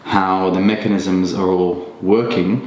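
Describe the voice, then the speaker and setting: A man in a relaxed podcast conversation, talking in a thoughtful way with many ums and restarts. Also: unhurried. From Australia. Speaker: podcast guest. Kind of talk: casual reflective conversation. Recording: echoey room with faint rumble.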